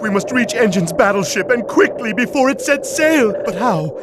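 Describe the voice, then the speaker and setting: A man's voice: very high voice, lively intonation, moderate pace. A man voicing a pompous, short-tempered mad scientist villain with a nasal, theatrical delivery in a cartoon video game. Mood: melodramatic, urgent. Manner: pompous, melodramatic, florid diction. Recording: noisy, with cartoon sound effects.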